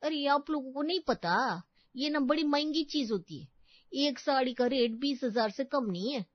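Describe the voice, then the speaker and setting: A woman's voice: sits high, lively intonation, normal speed, no echo, dull audio, voice pushed up. A woman voicing a sassy, boastful housemaid in a story, with cheeky colloquial street talk. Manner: cheeky, colloquial street-style Hindi with English words.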